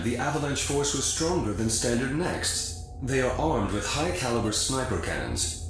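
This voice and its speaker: An actor playing a smooth-talking corporate agent who pitches missions formally in a video game briefing. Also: male voice, close to an everyday voice. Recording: heavy echo, music underneath.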